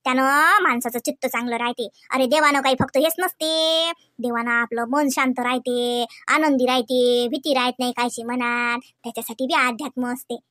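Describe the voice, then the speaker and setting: A high, bright cartoon village aunt acted by a woman, older, devout and fussy. She rambles affectionately and grumbles in a rustic Varhadi Marathi accent. The sound is dry and close. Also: fairly even intonation, moderate pace, few pauses, no echo. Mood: affectionate, preaching. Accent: Varhadi Marathi from Vidarbha. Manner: colloquial, rambling, coaxing and grumbling. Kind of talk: comedy cartoon dialogue.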